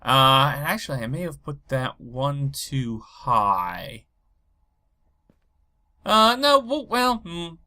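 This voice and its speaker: Stuffy guy with a cold narrating his game, kinda loopy, bright tone. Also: very animated, slow, long pauses. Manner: rambling and low energy, thinking aloud. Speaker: Minecraft let's play host. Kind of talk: casual gameplay commentary.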